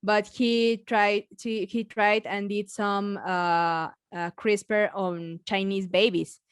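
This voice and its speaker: A woman with a Mexican accent, a biologist chatting casually as a podcast guest, halting with many restarts and frequent laughs. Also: medium pitch, flat delivery, smooth, no echo, studio quality. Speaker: biologist guest and science communicator.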